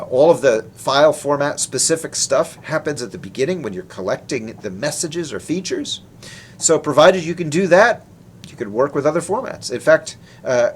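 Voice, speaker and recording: A man presenting research in a technical lecture, explaining in an informal, conversational way. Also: on the high side. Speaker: researcher presenting his work.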